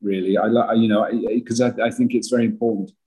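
Older male actor, interview guest. Big voice, slightly husky, low-set, rambling and self-deprecating. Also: fairly even intonation, fast talker, warm tone. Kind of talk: podcast interview.

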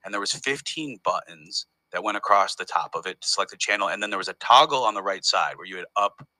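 A man's voice: fairly low pitch, expressive, fast talker, sharp tone, no echo, low-quality recording. A man in his thirties from the United States, a comedian guest telling rambling stories in a casual interview. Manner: rambling, self-interrupting storyteller.

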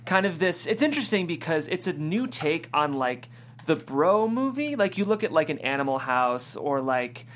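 A woman's voice: deep voice, very animated, moderate pace. A woman host reading out stage directions and chatting about a script.

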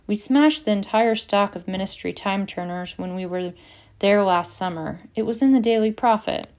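A woman's voice: medium pitch, moderate pace, darkish tone, natural voice. A woman voicing an earnest, clever teenage schoolgirl in an audiobook. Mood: matter-of-fact. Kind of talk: audiobook character reading.

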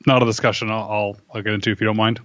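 A man speaking as an interview guest, laying out arguments in a measured, conversational way and checking his points with rhetorical questions. The recording is close and dry, but slightly dull and lo-fi. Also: fairly low pitch, little pitch movement, fairly bright tone, dry recording. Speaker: interview guest, firearms instructor and writer. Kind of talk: conversational interview, explaining opinions.

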